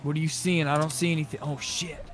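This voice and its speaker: A man with a slightly high voice, roleplaying in an online game. He talks bluntly and swears freely, with faint game noise behind him. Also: level tone, quick pace. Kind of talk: improvised in-character game chat.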